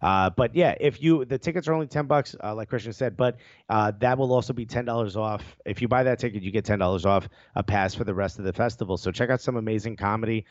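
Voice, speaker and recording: A man's voice: on the low side, fast, few pauses, no echo, dull audio. A man chatting as a podcast guest, laughing often between jokes. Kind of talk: casual podcast chat.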